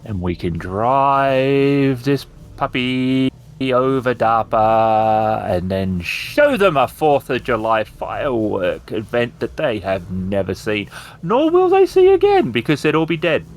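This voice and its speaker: Guy doing a smug evil robot who hates humans, taunting, super animated up and down. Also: medium pitch, takes it slowly. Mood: taunting, gleeful. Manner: theatrical, taunting. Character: villainous robot with a copied mind, smug, misanthropic.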